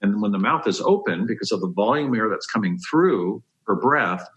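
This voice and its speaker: A man's voice: lowish voice, animated, moderate pace, fairly bright tone. A man giving health advice as a dentist on a podcast, explaining step by step in an emphatic, conversational way.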